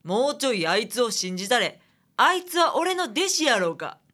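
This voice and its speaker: A woman's voice: a little high, sing-song, normal speed, sharp tone, heavily acted. A woman playing a caring, teasing detective's assistant in a radio drama, chatty and fussing, with a Kansai accent. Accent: Japanese, Kansai.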